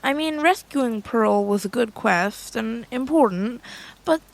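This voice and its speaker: A woman's voice: fairly high pitch, lively intonation, a little slow, vocal fry, voice pushed up, rough recording. A woman voicing a chatty, eager and nervous young girl adventurer in an audio storybook, rambling and wide-eyed.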